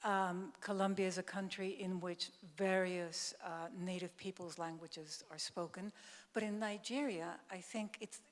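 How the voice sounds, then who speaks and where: A woman interviewing a guest on stage, asking measured, probing questions. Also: fairly low pitch, steady tone. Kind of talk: on-stage conversation. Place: festival stage in a large hall. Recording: echoey hall reverb.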